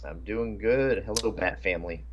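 Male co-host, low-pitched, casual talker, on a somewhat dull lo-fi mic. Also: moderate pace, a little hiss or hum. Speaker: podcast co-host. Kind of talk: casual chat.

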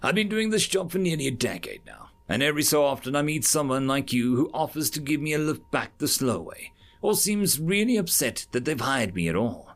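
A man's voice: on the high side, big pitch swings, a little fast, hardly any pauses, voice pushed up. A man voicing Patricia, a wry, impatient young woman mechanic in a storybook reading. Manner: dry, impatient.

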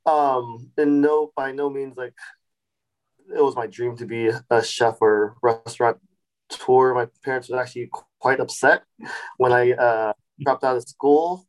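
A man in his forties, a chef and restaurant founder, telling his story in a relaxed podcast interview with many fillers and restarts. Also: mid-range voice, a little slow, rough recording. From Sacramento, California. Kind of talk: casual interview.

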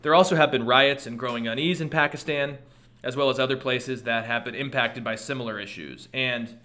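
A man's voice: mid-range voice, bright, forceful tone. A man hosting a solo podcast, commenting on world news in a conversational way, thinking aloud with restarts and asides, and losing his voice. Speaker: podcast host.

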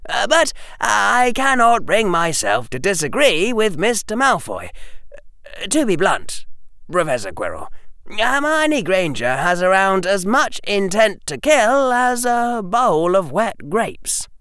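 Man voicing an eager, high-pitched schoolboy wizard. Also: very animated, takes it slowly, sharp tone, smooth, no room sound, studio quality, exaggerated voice. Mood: politely critical. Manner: wordy, formal, hesitant phrasing. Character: earnest, enthusiastic schoolboy wizard, eager and precocious.

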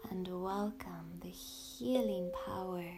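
A woman guiding a yoga nidra sleep meditation in a soothing, hushed, breathy voice with long pauses. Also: on the low side. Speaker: meditation guide, podcast host. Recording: ambient instrumental music bed. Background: meditative music with ringing tones.

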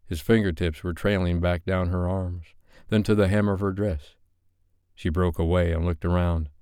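A man's voice: low-pitched, fairly even intonation, moderate pace, darkish tone, clear, resonant tone, no echo, studio quality. A man narrating a romance audiobook in a scripted, dramatic storytelling style.

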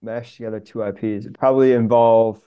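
A man's voice: medium pitch, fairly even intonation, a little fast, clear, resonant tone, highs cut off. A man speaking as a podcast guest in casual chat, joking in short, clipped phrases.

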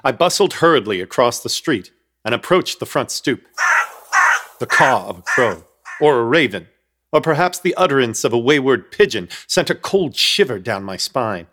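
A man's voice: mid-range voice, big pitch swings, normal speed, music in the background. A man narrating a comic horror radio play in an ornate, mock-grave, wry storytelling manner.

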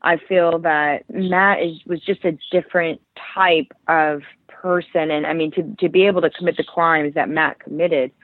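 A woman's voice: low voice, fairly even intonation, moderate pace, few pauses, dry recording. A woman author and journalist in her thirties, originally from Ohio, talking through her book in a thoughtful way, often restarting her sentences. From Ohio, Cleveland area. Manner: thoughtful, many restarts and fillers. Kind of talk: conversational interview. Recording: dry tinny phone-line sound.

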